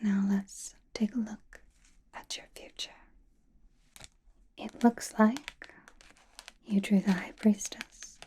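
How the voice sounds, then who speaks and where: Casting: woman as knowing, gently teasing sorceress, ASMR roleplay. Dark, breathy whisper, even and soothing. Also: mid-range voice. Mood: gentle, knowing. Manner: slow, deliberate, soothing. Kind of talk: ASMR roleplay, personal attention.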